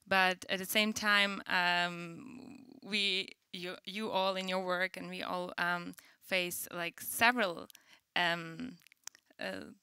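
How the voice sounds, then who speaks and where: A woman moderating a panel talk, her voice smooth but with a gravelly edge. She picks her way through her questions with many fillers and restarts. The sound is dry and close. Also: on the low side, pushed and bright, no echo. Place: event stage.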